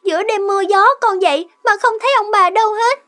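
A woman's voice: very high voice, fast talker, fairly bright tone. A woman playing a caring, curious young granddaughter in a cartoon, asking things in a concerned, plaintive way. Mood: worried. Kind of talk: cartoon dialogue acting.

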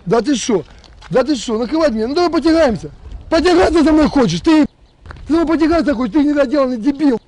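High-voiced man shouting threats on a phone. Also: expressive, fast talker, slight background noise. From Donbas. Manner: angry, shouting threats and insults. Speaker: militia commander. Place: outdoors on an empty road. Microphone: camera a few meters away, on a phone.

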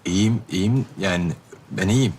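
An actor playing a gruff, reserved man in love in a television drama, speaking in a colloquial, halting, understated way. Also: low-pitched, darkish tone, a little hiss or hum. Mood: awkward, obliging. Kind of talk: naturalistic TV drama acting.

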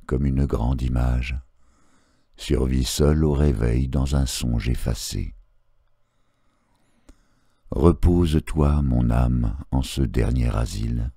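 A man reciting poetry in a solemn literary style, measured and phrase by phrase, with pauses. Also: very deep voice, warm tone, no room sound, clean studio sound. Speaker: poetry reader.

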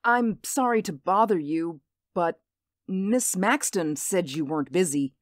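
A woman's voice: on the low side, animated, a little slow, dry recording, studio quality. A woman playing a worried, hesitant suburban mother in a radio drama. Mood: nervous, evasive. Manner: hesitant, anxious. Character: uneasy, worried suburban mother, trying to stay firm.

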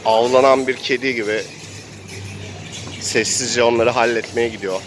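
A man's voice: mid-range voice, steady tone, normal speed, music in the background. A man casually improvising narration as he plays a role-playing game.

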